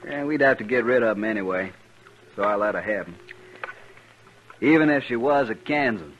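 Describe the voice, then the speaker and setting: An actor playing a proud, embittered Texan cattle boss in a 1950s western radio drama, with a folksy, plain-spoken manner. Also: male voice, lowish voice, lively intonation, moderate pace, a bit bright. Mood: folksy, matter-of-fact. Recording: muffled, noisy vintage radio recording.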